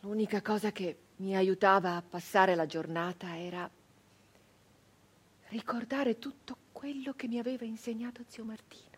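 An actress playing a grieving, traumatized 19th-century peasant woman in a theatrical radio monologue, halting and intense, with breathy speech that can sink toward a whisper. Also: mid-range voice, natural voice. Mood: mournful, subdued. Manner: halting, intense, repeating phrases obsessively.

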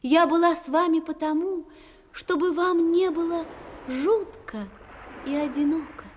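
An actress playing a gentle, mysterious young phantom woman in an audio drama, speaking solemnly in measured, poetic phrases. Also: extremely high pitch, a little hiss or hum. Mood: solemn, reassuring. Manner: solemn, measured, with drawn-out pauses. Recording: long cavernous reverb under music.

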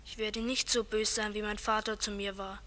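An actress playing a thoughtful schoolboy in a 1950s radio play, earnest and soft-spoken. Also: female voice, mid-range voice, level tone, normal speed, close to an everyday voice. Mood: musing. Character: thoughtful schoolboy dreaming of a better future.

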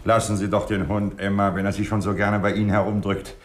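A man's voice: lowish voice, level tone, quick pace, echoey room, close to an everyday voice. An actor playing a courteous, suave ladies' man in a 1960s radio crime drama. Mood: urbane. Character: suave, womanising vice-consul and café regular.

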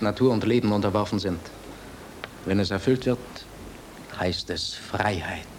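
An actor playing an earnest, idealistic officer in a German radio drama, solemn and intense. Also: male voice, lowish voice, slight background noise, natural voice. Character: earnest, idealistic officer, urgent man of conscience.

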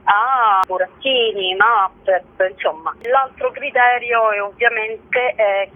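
A woman, a festival director, answering questions as an interview guest over the telephone. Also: medium pitch. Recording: narrow tinny phone line.

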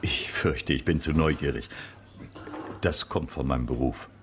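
An actor playing a courteous, observant former private detective in a radio drama, with a gravelly voice and a polite, probing manner. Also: male voice, extremely low pitch, a little slow, slight background noise. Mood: curious, polite.

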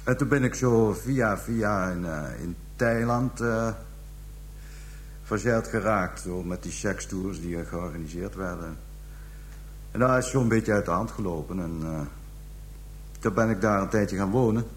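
Guy playing callous pimp confessing in a radio play, low voice, halting, crude slang, bit of noise and hum. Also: lightly acted. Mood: halting, matter-of-fact. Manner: halting, hesitant storytelling, coarse slang. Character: callous Dutch pimp and trafficker confessing to police. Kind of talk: radio drama acting, interrogation scene.

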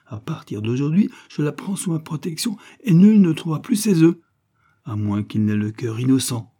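A man's voice: a little high, big pitch swings, normal speed, mellow, pitched above the performer's own voice. A man voicing an old saint hermit who solemnly rebukes a farmer, in a storybook reading. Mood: stern rebuke.

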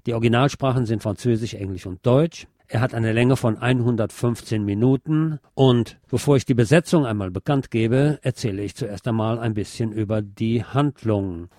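A man's voice: mid-range voice, moderate pace, few pauses, warm tone, no echo, studio quality. A man hosting a radio show, presenting a film review in a semi-scripted narrating style.

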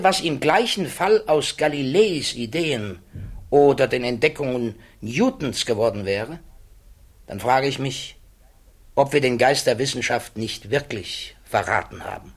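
A grave male physicist in a radio play, weighing his conscience aloud. His middle-register voice moves in formal, deliberate phrases, measured and reflective, in polished stage German. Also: medium pitch. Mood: earnest, troubled.

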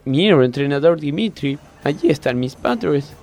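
A man's voice: on the high side, animated, moderate pace, a little mellow, faint music behind. A man from Argentina acting a naive, literal-minded young female gymnast with an earnest manner in a parody radio play. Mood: naive wonder. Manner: innocent, earnest, dim.

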